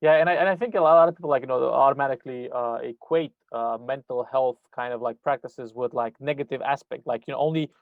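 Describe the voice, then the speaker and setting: Young guy from romania rambling fast on a podcast, lots of uh and like, thin dry phone-ish sound. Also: mid-range voice, vocal fry, no room sound, narrow, tinny audio. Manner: rambling, heavy fillers, swears. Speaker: podcast host. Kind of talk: casual chat.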